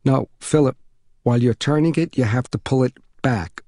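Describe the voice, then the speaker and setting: A man voicing a calm, motherly housewife who patiently and gently reassures a child in an audiobook story. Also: medium pitch, moderate pace, no room sound, studio quality, close to an everyday voice.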